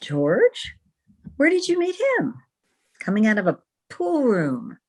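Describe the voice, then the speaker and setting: A woman playing a brisk, skeptical but caring niece in an old-time radio comedy, dryly dismissive. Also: on the high side, wildly swooping pitch, breathy edge, full character voice. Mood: skeptical, curious. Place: home, online video-call production.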